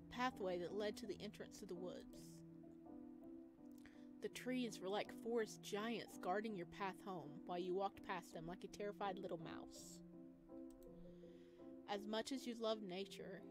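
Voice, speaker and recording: A woman with a mid-pitched voice reads story narration from a visual novel out loud. She plays it as a let's player would, expressive and informal, with faint music behind her. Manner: expressive reader who breaks into chatty asides. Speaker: video game let's player. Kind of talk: reading visual novel narration aloud with casual reactions. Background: background music.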